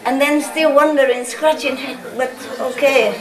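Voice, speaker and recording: A woman's voice: high voice, steady tone, normal speed, a faint crowd. A woman from Vietnam, speaking as a spiritual teacher to a live audience. She talks in a chatty, laughing way, often ending sentences with little questions like "no?". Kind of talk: informal talk to a live audience. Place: lecture hall with audience. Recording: echoey hall, audience laughter.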